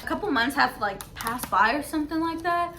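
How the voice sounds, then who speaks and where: A woman's voice: sits high, expressive, fairly quick, background noise. A young woman from Mexico, a podcast host, telling a long personal story in casual chat, full of fillers and restarts. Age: young adult. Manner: rambling, filler-heavy storytelling.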